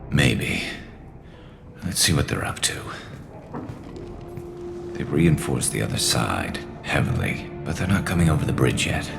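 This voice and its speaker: A battle-worn alien ex-cop turned vigilante in a video game. The voice is rock-bottom low and full of fry. Delivery is dry, clipped and wry, with music playing behind it. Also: male voice, sing-song, a little slow, long pauses, heavily acted. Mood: grim, matter-of-fact. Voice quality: gravelly, very deep.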